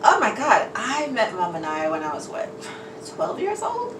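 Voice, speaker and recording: A woman's voice: a little high, huge pitch swings, normal speed. A woman who grew up in New York, chatting and laughing easily as she is interviewed in an herbal shop. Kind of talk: casual interview chat. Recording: roomy, distant mic, noisy background.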